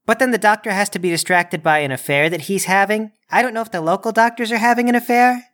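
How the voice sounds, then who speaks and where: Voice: mid-range voice, quick pace, fairly bright tone, close to an everyday voice. A player speaking in character in a tabletop game, explaining things in a matter-of-fact way.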